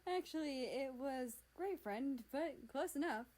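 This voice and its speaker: A woman's voice: high voice, expressive, a little slow, darkish tone, pitched above the performer's own voice. A woman voicing a rude, teasing, sarcastic older brother in a story, rambling along. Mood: smug.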